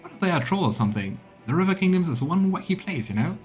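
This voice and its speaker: A man's voice: on the high side, big pitch swings, fast, a little mellow. A man giving casual gaming commentary as a let's play streamer. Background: game music.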